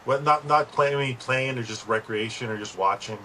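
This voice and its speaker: A man's voice: mid-range voice, moderate pace, sharp tone, slight background noise. A man hosting a podcast, asking casual interview questions and often repeating words as he goes. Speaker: podcast host.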